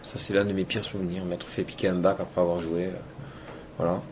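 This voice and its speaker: A man's voice: low voice, flat delivery, a little fast, background noise. A man from Toulouse, a DJ and producer, giving loose, rambling interview answers that trail off with fillers. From Toulouse, France. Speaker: electronic music DJ and producer.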